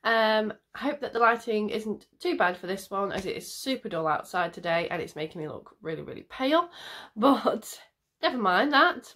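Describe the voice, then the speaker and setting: A woman's voice: fairly high pitch, fairly bright tone. A woman with a nasal, bunged-up voice from a cold, chatting in a rambling way while doing her makeup on camera, with lots of ums. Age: adult parent. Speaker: makeup vlogger. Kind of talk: casual makeup tutorial chat.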